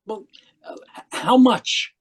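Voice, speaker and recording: A man's voice: slow pace, lightly acted. A man playing an obsessed, desperate zealot in an old-time radio mystery, fervent, pleading and intense. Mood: urgent, determined. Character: obsessed, desperate zealot younger brother turned killer. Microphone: home microphone on a video call.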